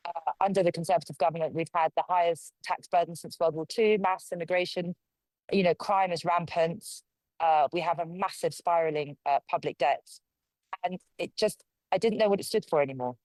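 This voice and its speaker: A woman's voice: fairly low pitch, steady tone, brisk, a little mellow, no echo, low-quality recording. A woman from London, a local councillor, giving forthright, conversational answers as an interview guest. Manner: forthright, conversational, speaks her mind.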